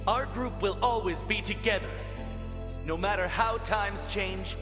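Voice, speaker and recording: A man's voice: high voice, a little slow, long reverb tail, over loud music. A man voicing a steadfast, tender young hero in an English anime dub, solemn and reassuring.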